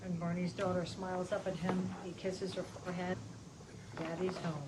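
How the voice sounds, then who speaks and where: A woman narrates stage directions from a script in a fairly low voice. The delivery is scripted narration, read aloud at a table read. There is clear noise around her in a live room recording. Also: little pitch movement, normal speed.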